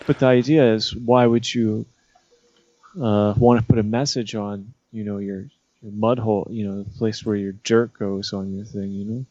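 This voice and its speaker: A man co-hosting a comedy radio show, skeptical and exasperated, often halting over his words. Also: fairly low pitch, flat delivery, darkish tone, no echo, lo-fi sound. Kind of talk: comedic banter.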